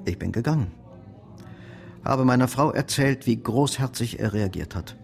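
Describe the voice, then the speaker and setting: An actor playing an ashamed, soft-hearted bankrupt son in a German radio play, halting and confessional. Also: male voice, medium pitch, animated, creaky. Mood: ashamed, emotional.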